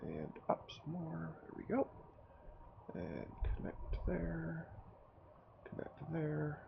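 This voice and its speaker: A man with a cold, his throat sore and his voice raspy, casually commenting on his own game as he builds and thinks aloud. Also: a little high, sing-song, takes it slowly, lo-fi sound, background noise. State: hoarse from a cold. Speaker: gameplay commentator. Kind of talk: casual let's-play commentary.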